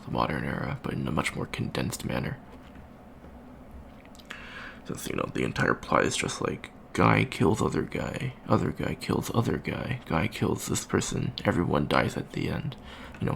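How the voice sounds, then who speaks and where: A man playing an awkward, bookish young alien college student in an ASMR roleplay, talking softly and hesitantly with many ums and stammers. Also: extremely low pitch, gravelly, slight background noise, exaggerated voice. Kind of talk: soft-spoken ASMR roleplay.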